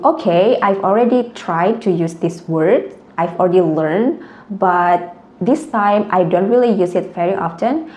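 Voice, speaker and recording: A woman's voice: fairly low pitch, dark tone, roomy sound. A young woman with an Indonesian accent, a language tutor earnestly explaining her points one by one in a vlog.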